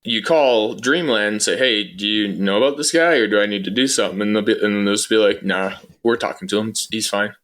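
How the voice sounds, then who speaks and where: Male podcast guest, lower voice, matter-of-fact and conversational, casual interview delivery. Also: brisk, few pauses, a bit bright. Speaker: interview guest, former air traffic controller.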